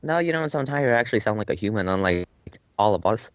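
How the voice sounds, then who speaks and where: A man's voice: on the low side, lively intonation, fast, slight background noise. A young man in a casual gaming voice chat, joking and teasing, often laughing. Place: online voice call. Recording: lo-fi dry voice chat audio.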